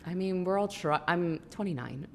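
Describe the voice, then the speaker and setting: A woman's voice: on the low side, normal speed, gravelly, a little hiss or hum. A woman in her thirties hosting a casual, chatty interview, talking informally with lots of "like". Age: 30s. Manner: chatty, informal, filler-heavy with frequent "like". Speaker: podcast host interviewing a guest.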